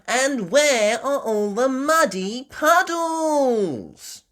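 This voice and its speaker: A woman voicing a cheerful, curious little girl pig in a storybook, with eager, drawn-out exclamations. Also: sits high, very animated, voice pushed up, exaggerated voice.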